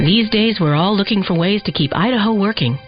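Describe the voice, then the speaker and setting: A woman's voice: mid-range voice, brisk. A woman reading scripted narration for a public-interest radio advertisement. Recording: dull lo-fi radio air-check. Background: music bed.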